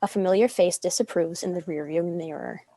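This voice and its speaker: A woman reads stage directions as scripted narration. Her voice is on the low side for a female. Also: brisk, dark tone.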